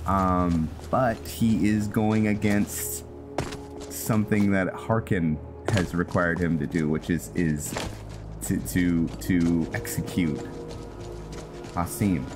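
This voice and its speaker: Deep voiced guy talking slow thru his game roleplay, kinda halting, music blasting behind. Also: steady tone. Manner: halting, thinking aloud. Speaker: gameplay host and roleplayer. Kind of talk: casual roleplay game commentary.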